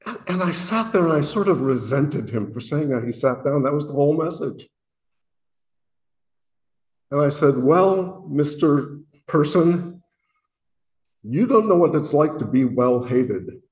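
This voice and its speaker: A man from Illinois with a booming voice, speaking earnestly and self-deprecatingly to a worship meeting, often pausing and restarting his sentences. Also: animated, a little mellow. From Illinois, USA. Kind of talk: reflective spoken message.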